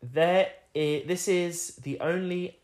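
Croaky-voiced man chatting. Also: high voice, takes it slowly. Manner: relaxed, rambling, frequent ums and laughs. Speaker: podcast co-host. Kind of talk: casual film review chat.

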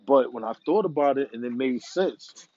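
A man's voice: mid-range voice, animated, normal speed, warm tone, dry recording, muffled recording. A man in a casual, rambling monologue, laid-back and profane, full of slang. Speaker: vlogger. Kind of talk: casual monologue about smoking.

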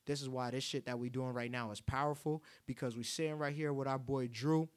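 A man's voice: medium pitch, fairly even intonation, brisk. A man in casual conversation as a co-host, speaking in a passionate, preaching tone.

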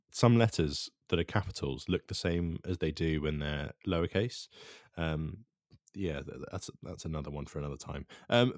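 A man with a very low voice co-hosts a podcast. He talks with hesitant ums and uhs and a dry sense of humour, and his pitch moves up and down a lot. The recording is close and dry. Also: no echo. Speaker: podcast co-host. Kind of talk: casual chat.

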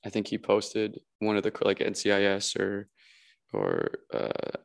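A man's voice: lowish voice, sing-song, measured pace, gravelly. A young man hosting a podcast, talking casually and hesitantly with many fillers. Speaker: podcast host. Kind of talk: casual conversation.

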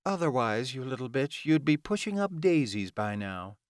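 A man's voice: on the high side, big pitch swings, measured pace, no echo, clean studio sound, pitched above the performer's own voice. A man voicing a scornful, taunting female vampire in an audiobook, with a bitter, insulting manner. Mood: ranting.

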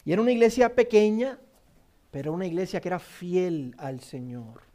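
High-voiced Puerto Rican pastor preaching. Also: male voice, normal speed. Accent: Puerto Rican Spanish. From Puerto Rico. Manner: emphatic preaching, repeats key phrases, drops low and soft in prayer. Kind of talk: sermon with scripture reading and prayer.

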